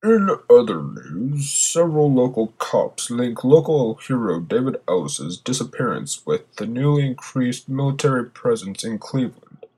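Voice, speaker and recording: A man playing a matter-of-fact local TV news reporter with a husky voice and formal delivery in an audio drama. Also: medium pitch, very animated, measured pace, warm tone, a little hiss or hum, poor audio.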